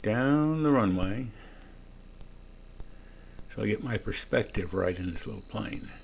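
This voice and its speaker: A man who is a pilot, giving calm explanatory commentary on his own flight from the cockpit of a small plane. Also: on the low side, unhurried, gravelly. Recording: noisy small-plane cockpit, radio transmissions.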